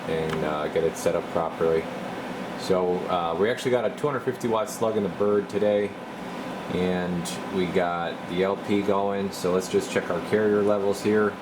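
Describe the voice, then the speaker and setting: Deep-voiced man over loud fan hum. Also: fairly even intonation, moderate pace. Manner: easygoing, lots of "uh" fillers. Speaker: CB radio technician. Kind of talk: casual workbench demo. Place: repair shop workbench.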